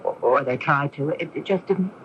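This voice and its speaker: A woman's voice: low voice, moderate pace, natural voice. An actress playing a young woman with a split personality in a 1980s radio drama, speaking in a poised, conversational way. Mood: reflective. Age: young adult. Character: young woman with split personality, sporty Jane and aloof Vanity. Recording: noisy, muffled 1980s AM radio recording.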